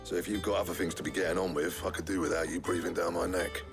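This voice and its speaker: An actor playing a gruff, grumbling blacksmith in a video game, curt and grudging in his speech. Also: sits low, fairly even intonation, fast, music in the background.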